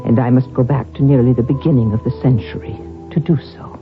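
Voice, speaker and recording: An actor playing the resigned last heir of a doomed noble family, solemnly recounting the past in a radio drama. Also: male voice, medium pitch, fairly even intonation, quick pace, lightly acted. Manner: solemn, reflective. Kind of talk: 1970s radio drama narration. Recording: dull old radio recording. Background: clear music.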